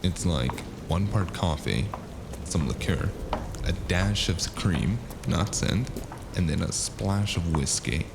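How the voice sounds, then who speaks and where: Noir audio drama lead: world-weary male detective played by a man, very low and gravelly, dry wisecracks over a noisy ambient bed. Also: expressive, takes it slowly, full character voice. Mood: wry banter. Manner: dry, sardonic, laconic.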